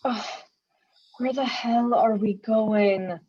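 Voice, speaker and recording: A sarcastic young warrior woman in a drama reading, acted by a woman. Her voice is husky and fairly high, grumbling and sardonic, on a slightly muffled recording. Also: steady tone, takes it slowly.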